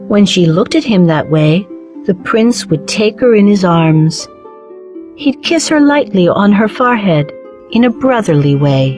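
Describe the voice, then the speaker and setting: A woman reading a children's storybook as narrator, with measured, clearly phrased delivery. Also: mid-range voice, lively intonation, a little mellow, faint music behind.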